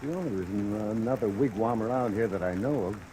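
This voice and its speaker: An actor playing a folksy, talkative, unruffled bartender in a 1950s radio drama. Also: male voice, on the low side, quick pace, mellow, lightly acted, poor audio. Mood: easygoing. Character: chatty, unruffled male bartender at a roadside bar. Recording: old radio recording, clear rain noise. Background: rain sounds.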